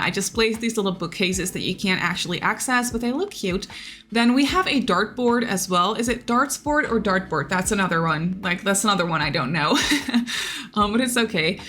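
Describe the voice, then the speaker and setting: Chatty Dutch-native woman over soft music. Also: medium pitch. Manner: chatty, many fillers and repeated words. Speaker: Sims builder YouTuber. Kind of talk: casual voice-over commentary. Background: soft background music.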